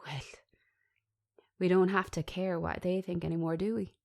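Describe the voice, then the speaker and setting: Female reader as grumbling young man, blunt, defensive, sweary, mellow dark tone, audio drama. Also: lowish voice, steady tone, normal speed, gravelly. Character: irritable, defensive young man fed up with lockdown. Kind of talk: script reading dialogue.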